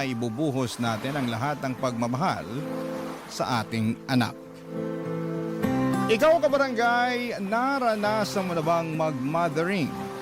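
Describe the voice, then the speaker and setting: Filipino male radio host, somewhat high voice, scripted narration with music underneath.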